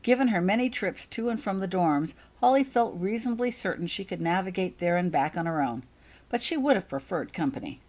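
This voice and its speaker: Female author-narrator for a fiction audiobook, slightly low pitch, highly varied intonation. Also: expressive, fairly quick, a little mellow. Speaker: author reading own story. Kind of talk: audiobook-style story narration.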